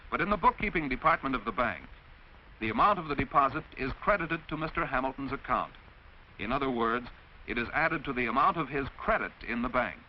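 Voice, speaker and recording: An American man narrates in the manner of a mid-century instructional film. His medium-pitched voice moves with lively intonation as he explains in a measured, carefully enunciated, didactic way. The old film soundtrack is dull, with faint noise.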